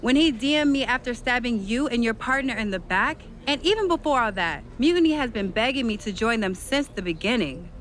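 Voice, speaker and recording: A woman's voice: a little high, lively intonation, fairly quick, hardly any pauses, a bit bright, slight background noise. A woman playing a brash, cocky pro wrestler spoiling for a fight in a video game cutscene. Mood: amused, dismissive. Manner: cocky, swaggering.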